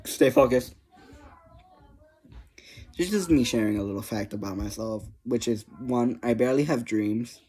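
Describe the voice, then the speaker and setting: An online creator chatting casually to viewers in a rambling, easily distracted way, energetic, giggly and self-deprecating. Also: mid-range voice, measured pace, pauses often, breathy edge.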